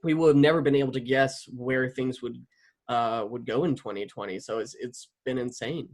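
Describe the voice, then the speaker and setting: Male podcast-style host, medium pitch, informal, hesitant and wordy. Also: steady tone, normal speed. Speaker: interviewer, host. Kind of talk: casual interview chat.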